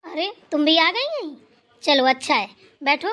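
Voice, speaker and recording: A woman's voice: sits high, lively intonation, a little slow, bright, forceful tone, dull audio, full character voice. Woman voicing a chatty old mother-in-law. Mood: relaxed. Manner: rambling storytelling, scolding playfully. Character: elderly mother-in-law, chatty, teasing, fond.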